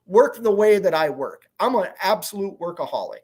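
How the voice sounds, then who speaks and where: A man's voice: high voice, big pitch swings, normal speed, darkish tone, breathy edge. A man in his forties, a truck owner-operator, chatting candidly on a livestream in a rambling way and answering viewer comments. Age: 40s. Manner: rambling, candid, repeats "you know what I mean". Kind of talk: casual livestream chat reading comments.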